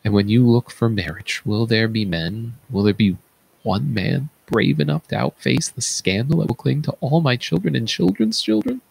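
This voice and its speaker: A man's voice: medium pitch, moderate pace, vocal fry, slightly rough, poor audio. A man playing a blinded fallen king, an anguished and tender father, in a theatrical reading of Greek tragedy. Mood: grieving. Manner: theatrical, grief-laden declaiming. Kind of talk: read-aloud Greek tragedy.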